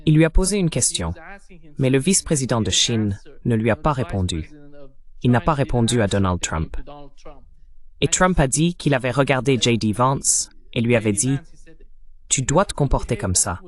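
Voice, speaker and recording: A man's voice: mid-range voice, lively intonation, normal speed. A man's AI dubbing voice acting as the interviewer in a translated interview voice-over.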